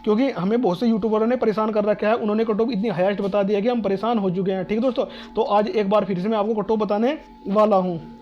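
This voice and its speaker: Fast, high-voiced North Indian man explaining. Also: steady tone, dull audio, faint music behind. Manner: repetitive, reassuring, asks and answers own questions. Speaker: exam-advice video host. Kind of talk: informal explanatory talk to students.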